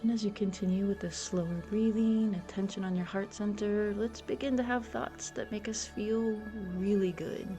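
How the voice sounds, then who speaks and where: A woman leading a guided meditation in a soothing, gentle, unhurried and encouraging way. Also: mid-range voice, fairly even intonation. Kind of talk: guided meditation, soft instructional narration. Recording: soft music behind the voice. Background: meditation music.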